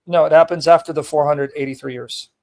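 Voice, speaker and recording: A man with a fairly high voice hosts a casual call-in debate. He talks bluntly and teases his caller, wandering off mid-sentence while fiddling with his equipment. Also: steady tone, fast talker, vocal fry, a little mellow. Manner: blunt, teasing, rambling while fumbling with his setup. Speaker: live-stream host debating callers.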